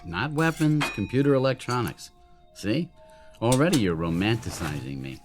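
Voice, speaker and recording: An actor playing an affable, slightly uneasy young suitor in a radio drama, by turns bantering and earnest. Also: male voice, medium pitch, big pitch swings, normal speed, music in the background, natural voice. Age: young adult. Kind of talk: radio drama acting, naturalistic dialogue.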